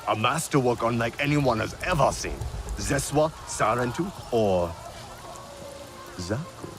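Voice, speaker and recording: A man's voice: medium pitch, animated, measured pace. A man playing a hearty, grandiose alien clansman in a video game, jovial and declamatory. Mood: grand, friendly.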